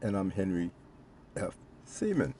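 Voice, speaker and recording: An older man, a guest storyteller, talking casually in a halting, informal way. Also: low voice, very animated, warm tone, breathy edge, soft background music. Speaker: featured guest storyteller and author. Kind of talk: casual spoken plug.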